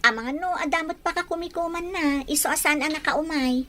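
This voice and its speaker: A woman's voice: high-pitched, fast talker, bright, forceful tone, a little hiss or hum. A woman acting as a humble, deferential household maid and devoted mother in an Ilocano audio drama. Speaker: drama actress.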